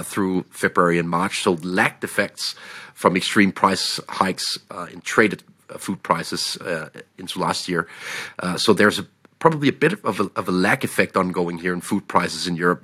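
Low husky Danish-accented man. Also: sharp tone. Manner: measured, many "uh" pauses. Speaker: podcast co-host, analyst. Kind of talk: casual market discussion.

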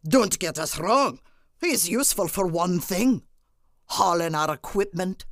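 A woman's voice: on the low side, unhurried, no room sound, clean studio sound. A woman voicing a blunt, mocking dwarf miner who taunts in colourful insults. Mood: derisive.

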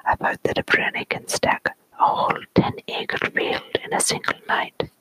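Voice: normal speed, pushed and bright, no room sound, natural voice, rough recording. A storyteller whispering the part of a stern, sensible old village granny who scolds with folksy sayings. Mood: scolding.

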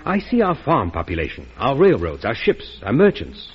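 Smooth, wildly expressive scheming financier. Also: male voice, mid-range voice, normal speed. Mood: courteously persuasive. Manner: polite, insinuating, formal. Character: smooth-talking, scheming, falsely humble male financier. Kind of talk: old-time radio drama acting. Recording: dull old radio recording with hiss.

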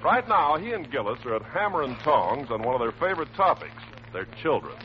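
A man's voice: mid-range voice, very animated, a little fast, a little hiss or hum. A man announcing and narrating a 1940s radio comedy in the announcer style of that era. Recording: muffled vintage radio with music behind. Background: music.

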